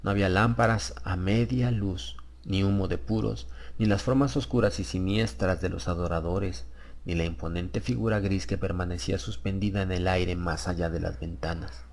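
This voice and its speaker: A man's voice: deep voice, steady tone, moderate pace. A man reading a scripted horror story as a dramatic audiobook narrator.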